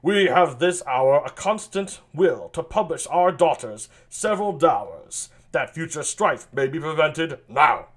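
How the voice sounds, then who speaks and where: A man's voice: on the high side, expressive, unhurried, hardly any pauses. A man voicing an aging king in a play reading, speaking in a stately, declaiming way. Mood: regal. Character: aging male king, grand and weary.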